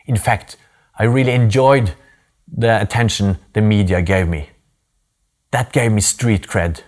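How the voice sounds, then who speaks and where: A man in his forties from Norway with a Norwegian accent, giving an earnest, deliberate personal story talk with pauses for emphasis. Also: fairly low pitch.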